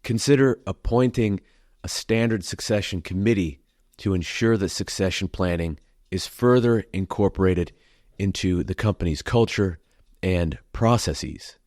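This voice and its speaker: Low-voiced man hosting a podcast, dry sound. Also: measured pace, a little mellow, no echo. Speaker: podcast host. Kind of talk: scripted intro and interview questions.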